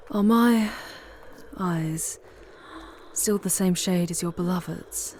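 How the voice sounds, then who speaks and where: Woman voicing a seductive demoness, low breathy murmur, wry, unhurried, ASMR roleplay, noisy ambience behind. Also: a little mellow. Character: charming, sardonic, seductive female demon guide to hell. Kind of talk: ASMR audio roleplay acting.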